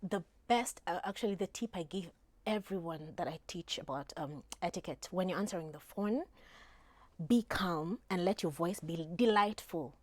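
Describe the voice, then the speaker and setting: A woman, an etiquette trainer calmly explaining phone manners on a studio talk show, with frequent fillers. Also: lowish voice, dry recording. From Kenya. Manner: calm, measured advice with frequent fillers.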